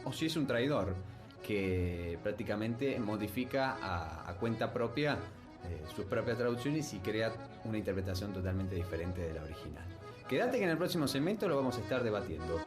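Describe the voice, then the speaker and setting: Low-voiced Argentine man in an echoey room. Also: very animated, normal speed, music in the background. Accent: Argentine Spanish. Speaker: podcast host. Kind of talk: casual podcast debate.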